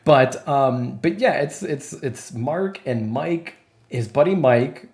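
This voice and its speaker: A man's voice: medium pitch, slow pace, creaky. Chatty man with a Wisconsin accent. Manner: chatty, animated, swears casually. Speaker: podcast host. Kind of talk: casual chat.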